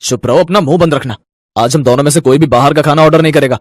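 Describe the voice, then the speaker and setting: Quick-talking, exasperated man acting a husband. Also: a little high, dry recording, natural voice. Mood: angry. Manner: fed up, scolding. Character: exasperated adult son and husband, stern.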